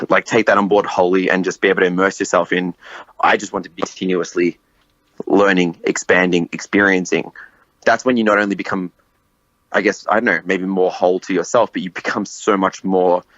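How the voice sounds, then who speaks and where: A man's voice: deep voice, a bit bright, no echo, lo-fi sound. A man in his late twenties hosting a podcast, chatting casually and animatedly, swearing and laughing often. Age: 20s. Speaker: podcast host. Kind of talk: casual conversation.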